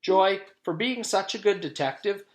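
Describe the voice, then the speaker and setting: A man's voice: sits high, normal speed, pitched above the performer's own voice, close to an everyday voice. A man voicing an earnest, firm woman schoolteacher in a storybook, handing out classroom praise and scolding.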